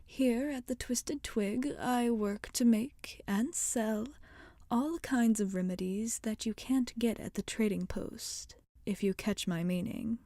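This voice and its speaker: A woman voicing a wry, rebellious witch shopkeeper in a soft-spoken ASMR roleplay, often slipping into whispers. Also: on the high side, slow pace, dry recording. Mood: defiant, frank.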